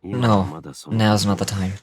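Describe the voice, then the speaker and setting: Woman putting on a deep male game wanderer, grainy, brooding, terse, solemn, loud music behind. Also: steady tone, takes it slowly. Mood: grave, measured. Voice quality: low, grainy. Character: brooding, grave, edgy man, fantasy game wanderer.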